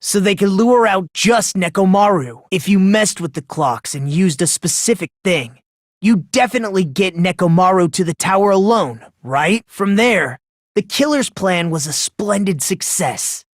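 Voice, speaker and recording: An actor playing an eerily polite, smug high school boy in an anime game dub, talking in a condescending, leisurely, theatrical way. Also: animated, moderate pace, no room sound, studio quality. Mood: smug explaining. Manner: condescending, leisurely, theatrical, rhetorical tag questions. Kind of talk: anime video game dub acting, deductive monologue.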